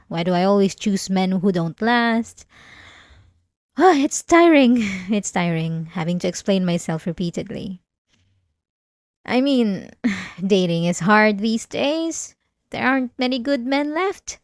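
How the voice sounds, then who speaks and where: A woman's voice: mid-range voice, no room sound, studio quality. A woman playing an obsessive, manipulative young therapy patient in an ASMR roleplay, speaking politely and hesitantly with nervous little laughs and defensive insistence. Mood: weary, aggrieved. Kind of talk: scripted ASMR roleplay acting.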